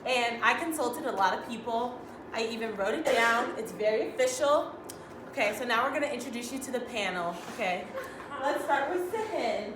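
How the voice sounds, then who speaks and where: A woman's voice: fairly high pitch, animated, normal speed. A young woman from Los Angeles hosting a casual group chat with friends, reading out tips and laughing along. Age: young adult. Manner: chatty, laughing, reads tips aloud. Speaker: host. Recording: echoey room, background noise, far from mic.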